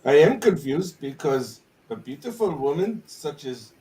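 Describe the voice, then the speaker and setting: A man's voice: fairly high pitch, animated, measured pace, dark tone, recorded from a distance, a little hiss or hum, low-quality recording. A man bantering on a live art stream in a goofy, joking way.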